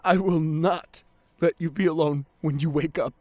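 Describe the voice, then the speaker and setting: A man acting a devoted, guilt-ridden boyfriend at a bedside in an intimate ASMR roleplay, speaking tenderly and haltingly with a lot of emotion. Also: a little high, steady tone, darkish tone, dry recording, lightly acted. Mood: earnest, pleading. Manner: tender, halting, emotional delivery with repeated phrases.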